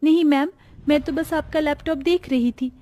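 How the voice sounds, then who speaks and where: A woman's voice: high voice, fairly even intonation, moderate pace, dark tone, soft background music, close to an everyday voice. A woman voicing a humble, educated young woman from a poor family in a cartoon moral story, earnest and pleading. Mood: wistful, meek.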